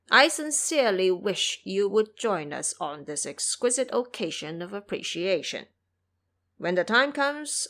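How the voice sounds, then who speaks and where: Woman reading as a formal, flattering bear monster. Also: medium pitch, gravelly. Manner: formal, obsequious. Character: courteous, flattering male bear monster reading his invitation.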